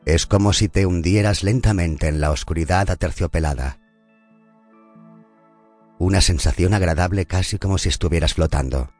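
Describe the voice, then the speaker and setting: A man narrating a scripted guided meditation in a calm, soothing and unhurried manner. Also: sits low, soft background music.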